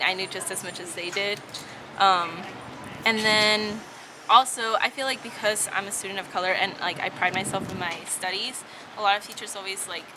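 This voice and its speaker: A woman's voice: mid-range voice, noisy background. A young woman, a college student, answering interview questions casually with many fillers. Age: young adult. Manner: many fillers, thinking aloud.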